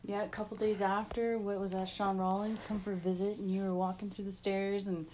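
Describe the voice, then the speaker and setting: A woman's voice: mid-range voice, little pitch movement, fairly quick, darkish tone, poor audio. Canadian woman chatting over faint background noise. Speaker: interviewee, the host's wife. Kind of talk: casual family conversation.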